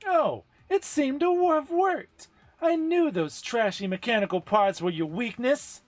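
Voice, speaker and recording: A man voicing a brash, hot-tempered young woman fighter from a game story, with shouted, taunting, overdramatic delivery. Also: extremely high pitch, measured pace, no echo, faint music behind, pitched above the performer's own voice. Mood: cocky, taunting.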